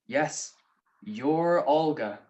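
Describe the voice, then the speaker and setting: A man's voice: on the high side, lively intonation, very slow, a little mellow. A man playing a shrewd, wry married private detective in a 1940s-style radio drama. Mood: wry, accusing. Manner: wry, teasing.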